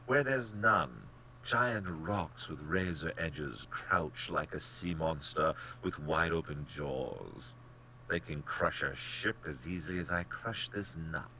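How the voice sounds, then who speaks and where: Pompous, wildly swooping aristocratic general. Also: male voice, rumbling low, expressive, slow, sharp tone, a little hiss or hum, exaggerated voice, rough recording. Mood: smugly sly. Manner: blandly polite, pompous, theatrically emphatic. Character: genteel, smug, cold-blooded aristocratic general who hunts men. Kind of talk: dramatic audiobook character acting.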